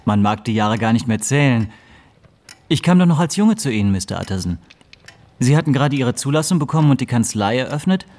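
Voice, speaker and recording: An actor playing a deferential, astute middle-aged law clerk, polite, precise and discreet, in a radio play. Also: male voice, medium pitch, expressive, a little fast, darkish tone, lightly acted. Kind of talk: German radio play dialogue.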